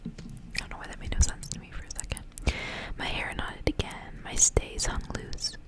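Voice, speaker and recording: A woman reading a story aloud in a soft, breathy, intimate whisper for ASMR, with measured storytelling phrasing.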